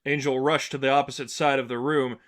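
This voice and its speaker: A man narrates an action story from a script. His voice sits at an average male pitch, in the manner of an amateur audiobook reading. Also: little pitch movement, brisk, fairly bright tone. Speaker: narrator reading fan fiction.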